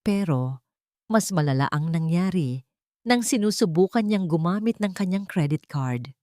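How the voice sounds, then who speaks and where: A woman's voice: fairly low pitch, lively intonation, moderate pace, warm tone, dry recording, clean studio sound. A woman narrating a scripted dramatic story as a storyteller.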